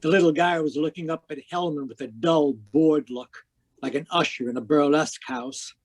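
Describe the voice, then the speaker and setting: A man playing a cynical private detective in 1940s radio noir style, wisecracking and deadpan as he talks and narrates. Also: on the high side, normal speed, lightly acted. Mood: wry narration. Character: cynical hard-boiled male private detective.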